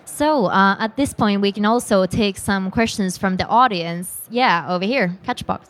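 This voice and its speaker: A woman's voice: medium pitch, normal speed. Woman moderating a panel.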